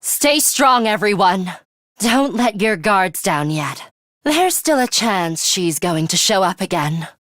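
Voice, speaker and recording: Game role: serious young woman fighter, measured and sharp-tongued, lively intonation over a deliberate pace, scolding but caring. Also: mid-range voice, dry recording, studio quality. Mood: rallying, alert. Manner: measured, stern, dryly scolding. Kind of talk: video game voice acting.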